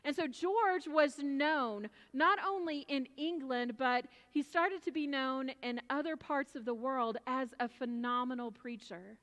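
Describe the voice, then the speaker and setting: A middle-aged American woman pastor delivering a sermon with personal storytelling in a loud, emphatic, projected voice. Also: high-pitched, measured pace, few pauses. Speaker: pastor preaching. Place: large church sanctuary, at pulpit. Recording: reverberant large church hall.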